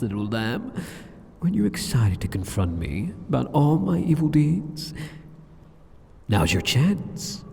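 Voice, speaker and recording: Husky, taunting demon king played by a man. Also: mid-range voice, warm tone, full character voice. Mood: amused, teasing. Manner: taunting, condescending, theatrical, drawn-out phrases. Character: sadistic, amused, arrogant male demon king villain. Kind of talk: scripted ASMR roleplay monologue addressed to the listener. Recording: long cavernous hall reverb.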